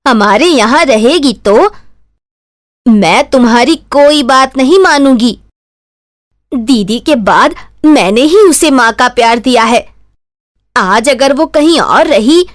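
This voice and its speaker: A woman's voice: high-pitched, no echo, clean studio sound. A woman voicing a doting, protective village mother in a Hindi cartoon story, pleading and insistent.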